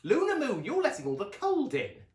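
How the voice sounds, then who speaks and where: Man voicing a high, gushing, cheery mum. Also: wildly swooping pitch, normal speed, reverberant, exaggerated voice. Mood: cheery, effusive. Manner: effusive, exclaiming. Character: cheery, gushing, willowy mother.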